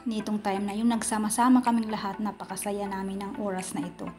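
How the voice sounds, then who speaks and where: A woman's voice: medium pitch, flat delivery, quick pace, over music. A woman in her thirties from the Philippines, vlogging casually about her clinic workday, chatty and rambling, filmed on a phone held at arm's length in a small clinic room. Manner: chatty, rambling, repeats herself. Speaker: vlogger and slimming machine operator. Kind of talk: casual vlog narration.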